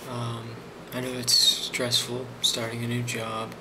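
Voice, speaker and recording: A man speaking softly in an ASMR role play as a boss, hesitant and reassuring, with frequent pauses. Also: medium pitch, completely flat delivery, background noise. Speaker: ASMR role-player playing a boss giving a review.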